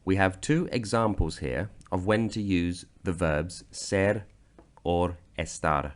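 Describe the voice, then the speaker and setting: Man, very low voice, unhurried, from Britain, teaching grammar from slides. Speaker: language teacher. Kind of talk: scripted slideshow narration.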